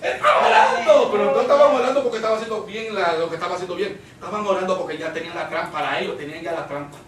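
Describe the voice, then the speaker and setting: A man's voice: sits high, animated, very fast. A man with a Puerto Rican Spanish accent preaching a Bible-study sermon, emphatic and repetitive, putting questions to his congregation. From Puerto Rico. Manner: emphatic, repeats phrases, asks the congregation rhetorical questions. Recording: roomy echo, distant microphone.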